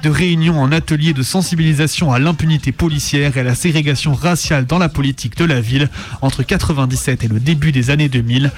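A man presenting on radio, reading a scripted militant documentary text in measured phrases. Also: a little high, fairly even intonation. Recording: music playing under the voice. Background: music.

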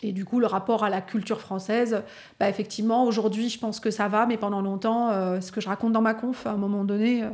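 A woman from the Lyon area of France tells personal stories in a podcast conversation. She hesitates often and fills her pauses as she goes. Her voice sits at an ordinary middle pitch. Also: fairly even intonation, darkish tone. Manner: hesitant, frequent fillers, storytelling. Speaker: podcast guest and speaker. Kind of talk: casual reflective conversation.

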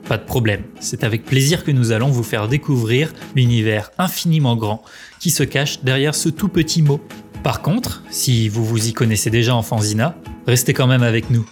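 Male podcast co-host with music behind. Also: mid-range voice. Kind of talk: scripted presentation in a conversational tone.

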